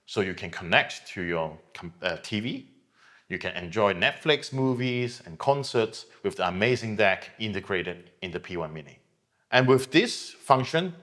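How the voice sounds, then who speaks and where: A middle-aged man giving a measured, explanatory product presentation as a company representative. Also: lowish voice, a bit bright. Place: hi-fi showroom.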